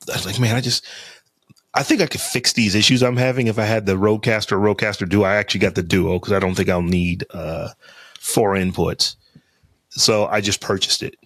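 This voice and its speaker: A man with a deep, lowish voice chats casually on his podcast, rambling and circling back with fillers as he goes. He talks close to the mic, and the sound is dry and free of room echo. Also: a bit bright. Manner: rambling, loose talk with many fillers and restarts. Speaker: podcast co-host. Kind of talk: casual chat. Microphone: close studio mic on a podcast interface.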